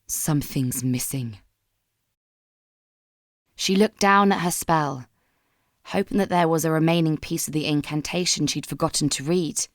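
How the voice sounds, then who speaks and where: A woman with a low voice reads a dramatic story from a script. Her telling is measured and solemn, and the sound is very dry and close. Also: fairly even intonation, no echo. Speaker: fan fiction narrator.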